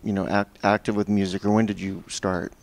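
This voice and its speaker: A male talk show host introducing his guest and asking questions, halting with frequent ums and uhs. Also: lowish voice, level tone, creaky, no echo. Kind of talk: hosting an interview.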